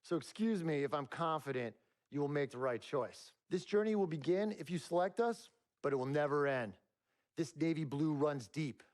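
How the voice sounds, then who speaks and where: A man plays a self-assured college basketball recruiter for a sports game. He is direct and confident, with a voice a little on the high side. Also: animated, normal speed, a little mellow, lightly acted.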